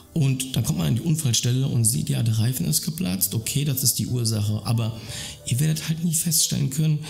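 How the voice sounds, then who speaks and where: Gravelly-voiced man talking earnestly to camera. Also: medium pitch, a little mellow. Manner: earnest, rambling, with frequent restarts and fillers. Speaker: YouTuber talking about mental health. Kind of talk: casual explanatory monologue to camera. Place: dim room at home, indoors.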